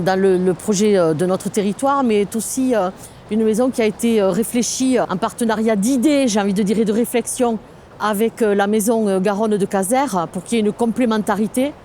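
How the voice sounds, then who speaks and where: Mellow-voiced woman explaining a local project. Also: mid-range voice, a little fast, a little hiss or hum. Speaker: local government sustainable development officer.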